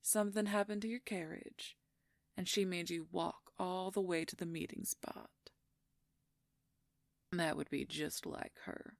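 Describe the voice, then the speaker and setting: Woman acting a husky, whispery, possessive werewolf schemer. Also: lowish voice, no echo, exaggerated voice. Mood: smug, dismissive. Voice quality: husky, breathy, creaky near-whisper. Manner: silky, condescending, slow and deliberate. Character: smug, possessive werewolf schemer, conquering royal betrothed. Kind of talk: audio roleplay acting, addressing the listener.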